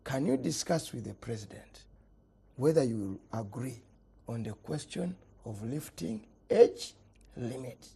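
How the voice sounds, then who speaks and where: A man's voice: mid-range voice, animated, unhurried, gravelly, warm tone, husky. A middle-aged man with a Ugandan accent, a politician arguing hard in a panel debate, repeating words and letting his voice fall at the end of phrases. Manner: emphatic, repeats words, voice drops at phrase ends. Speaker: politician guest.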